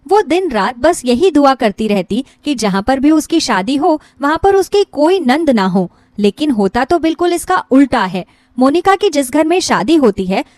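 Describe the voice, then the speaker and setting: A woman tells a scripted story in a very high voice. Her delivery is expressive, with lively melody. The sound is dry and close. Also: dry recording.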